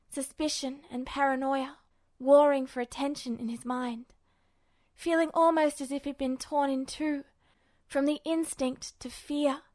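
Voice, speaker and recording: High-voiced woman reading fiction, slow and dramatic. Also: a little mellow, no room sound. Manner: dramatic, emotive. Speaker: podfic reader. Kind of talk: expressive fiction narration.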